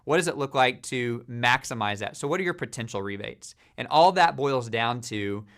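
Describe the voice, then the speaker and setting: Steady businesslike man explaining programs. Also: mid-range voice, normal speed. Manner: steady, businesslike, with frequent "uh" fillers. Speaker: company leader presenting a supplier program. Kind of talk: corporate explainer talk.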